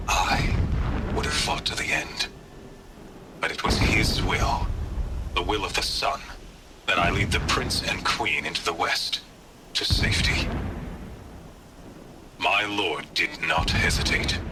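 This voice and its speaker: An actor playing a proud, pitiless warrior zealot in a video game, delivering a solemn, grandiose monologue in formal archaic phrasing. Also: male voice, deep voice, full character voice. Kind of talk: dramatic audio-log monologue in a video game.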